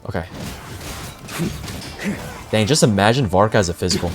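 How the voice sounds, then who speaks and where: A man's voice: on the low side, lively intonation, normal speed, music in the background. A man streaming gameplay, reacting casually with slangy exclamations and muttered asides to his chat. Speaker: game streamer.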